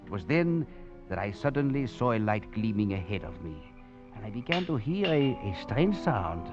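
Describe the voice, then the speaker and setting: A wry, self-styled philosopher on the run, narrating with chuckles and a voice that leaps animatedly up and down. The old radio recording is muffled, with faint music underneath. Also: male voice, on the low side, sing-song, normal speed. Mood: wry, chuckling. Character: wry, cunning male escaped prisoner and philosopher. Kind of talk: 1940s radio acting.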